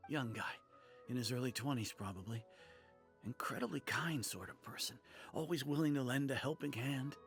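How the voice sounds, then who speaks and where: Casting: male actor as soft-hearted game shopkeeper, mid-pitched and gravelly, weary, sincere, a little hesitant, music underneath. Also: normal speed, lightly acted. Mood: fond, concerned. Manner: hesitant, apologetic, earnest. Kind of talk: video game voice acting.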